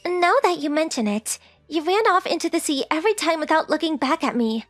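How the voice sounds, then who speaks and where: Woman voicing very high, sweet lovestruck schoolgirl. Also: expressive, fairly quick, no echo, faint music behind. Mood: sincere. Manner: affectionate, earnest. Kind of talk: anime-style manga dub acting.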